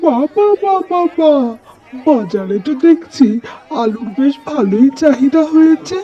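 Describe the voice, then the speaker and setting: A woman voicing an excitable, pious, gullible male farmer in a cartoon, exclaiming and repeating phrases over animal sounds. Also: sits high, measured pace, lo-fi sound. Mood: excited. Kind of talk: animated storybook cartoon dialogue.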